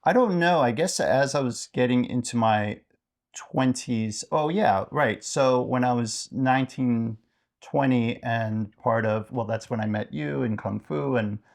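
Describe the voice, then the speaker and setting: A man co-hosting a podcast as an interviewed author, talking in a casual, reflective way and haltingly thinking aloud. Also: medium pitch, vocal fry.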